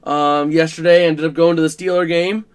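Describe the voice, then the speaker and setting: A man hosting a casual live stream about a college football game, his voice scratchy and rough, chatting to viewers with plenty of fillers. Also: a little high, flat delivery, brisk. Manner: chatty, filler-heavy, talks to chat while working the stream.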